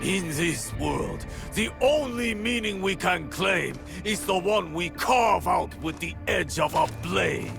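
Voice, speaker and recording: A man playing a fiery warlord in a video game, gravelly and commanding, rallying his armed men with grandiose oratory. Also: high-pitched, animated, slow pace, hardly any pauses, over music, full character voice. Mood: grim, proud. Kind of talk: dramatic video game cutscene acting.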